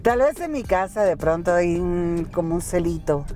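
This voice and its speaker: A woman in her fifties with a Colombian accent tells her story from the passenger seat of a moving car. She speaks candidly and at a steady, warm pace. Faint music plays under the conversation. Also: fairly low pitch, moderate pace. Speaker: singer. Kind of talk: casual interview chat. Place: inside a car. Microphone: car-mounted camera.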